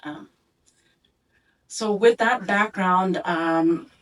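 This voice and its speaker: Reflective woman speaking from afar. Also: mid-range voice. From Philippines and Indonesia, raised abroad. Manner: reflective, many ums and pauses. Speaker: community speaker presenting her family story. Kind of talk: storytelling talk with photo slides. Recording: voice from a distance.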